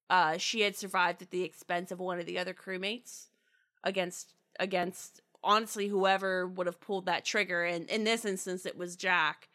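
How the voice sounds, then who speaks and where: Woman, Pittsburgh accent, bright tone, casual self-deprecating podcast chatter. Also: medium pitch. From US Northeast-Midwest border. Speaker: podcast cast member. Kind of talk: casual chat. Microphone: home podcast mic.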